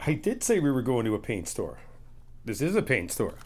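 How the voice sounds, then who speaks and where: A middle-aged man in an ASMR friend roleplay, talking in an easygoing, reassuring, chatty way with soft laughs. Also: medium pitch, huge pitch swings, a little fast, slight background noise. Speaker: ASMR roleplay creator playing a best friend.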